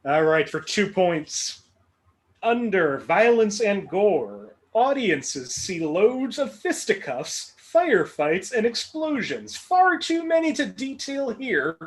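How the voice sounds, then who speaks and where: A man's voice: high voice, sing-song, takes it slowly. A man with a Midwestern accent hosting a trivia game, reading clues with playful, dry humor. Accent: Midwestern American. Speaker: game show host.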